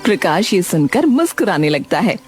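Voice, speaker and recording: A woman's voice: on the low side, normal speed, soft background music. A woman narrating a cartoon story in a scripted storytelling style. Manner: storytelling, expressive.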